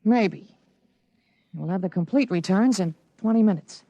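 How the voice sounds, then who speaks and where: A man's voice: high voice, unhurried, noisy background. A male performer playing a clever, self-assured thirteen-year-old boy in a 1950s radio drama, earnest and articulate. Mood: confident.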